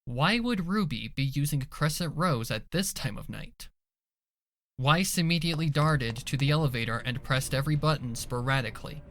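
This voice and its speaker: A man reading scripted dramatic narration for a fan fiction story. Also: medium pitch. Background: soft music behind the voice.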